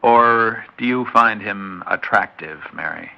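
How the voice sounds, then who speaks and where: An actor playing a suspicious, hot-tempered suburban husband in an old radio drama, talking in a blustering, short-tempered way. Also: male voice, lowish voice, slow, vocal fry. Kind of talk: 1950s radio drama acting. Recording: dull old radio recording.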